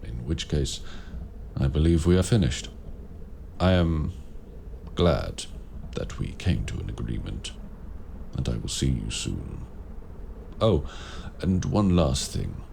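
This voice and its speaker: A man playing a courteous, grave warlock mentor in raven form, speaking formally and deliberately in an audio roleplay. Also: a bass voice, sing-song, gravelly, noisy background, heavily acted. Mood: quietly pleased. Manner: formal, measured, deliberate phrasing. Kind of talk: audio roleplay addressed to the listener.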